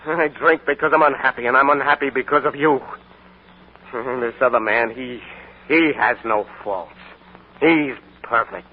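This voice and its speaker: A man's voice: mid-range voice, unhurried, husky. An actor playing a jealous, hard-drinking young man, brooding and pleading, in 1940s radio acting. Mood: desperate. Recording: tinny 1940s radio broadcast.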